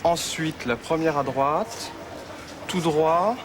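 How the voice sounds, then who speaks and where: A tourist repeating street directions back slowly. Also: medium pitch, noisy background. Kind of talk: scripted street dialogue.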